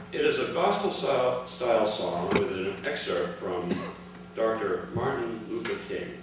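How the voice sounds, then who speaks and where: An older man reading a prepared speech aloud to a gathering in measured, separate phrases. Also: low voice. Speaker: speaker reading his father's account at an award ceremony. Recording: echoey, noisy hall recording from far off.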